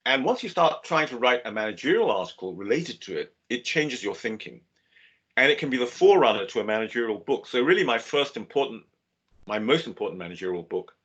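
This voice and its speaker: A man's voice: medium pitch, a bit bright, husky. Older man lecturing over a dull webinar line. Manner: measured, explanatory, with fillers and restarts. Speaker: business school professor giving a webinar.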